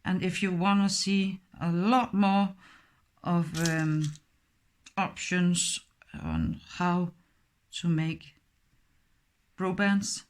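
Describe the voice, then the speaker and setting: Slow-talking woman with a Danish accent. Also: fairly low pitch, steady tone. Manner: slow, hesitant, apologetic, with many fillers. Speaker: craft tutor. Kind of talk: step-by-step craft tutorial.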